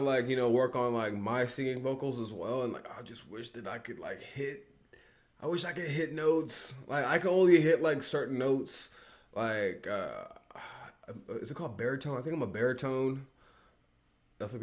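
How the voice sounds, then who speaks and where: A man's voice: mid-range voice, moderate pace. Rambling casual man reviewing music. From Peoria, Illinois, US. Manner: rambling, heavy on fillers and slang.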